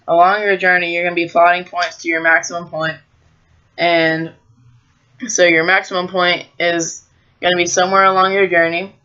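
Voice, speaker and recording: An American teenage high school student giving an explanatory school presentation. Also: a bit bright, dull audio.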